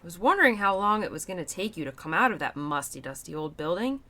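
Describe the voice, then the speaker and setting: A woman playing a playful, affectionate autumn spirit and protective childhood friend in an expressive, chatty audio roleplay. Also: fairly low pitch, quick pace. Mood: teasing, playful. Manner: expressive, chatty, teasing, with laughs and sighs. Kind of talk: girlfriend audio roleplay acting.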